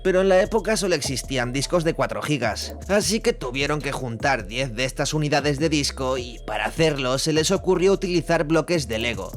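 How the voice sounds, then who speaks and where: A man's voice: fairly high pitch, expressive, a little fast, hardly any pauses, close to an everyday voice. An actor from Spain playing a chatty young male YouTuber explaining pop-culture trivia, addressing viewers directly and informally. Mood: informative. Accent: Spanish from Spain. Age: young adult. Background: music.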